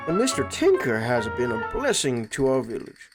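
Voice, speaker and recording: A performer plays a dignified, compassionate village leader from a cartoon. The delivery is polite, formal and earnest, with lively pitch movement over clearly audible music. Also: normal speed. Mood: heartfelt. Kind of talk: comic book fan dub cartoon acting.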